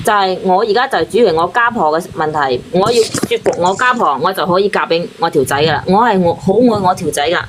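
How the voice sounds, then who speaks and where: A woman's voice: on the low side, animated, quick pace, hardly any pauses, background noise. A woman calling in for relationship advice, pleading and defensive in a casual, argumentative chat. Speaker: caller seeking relationship advice.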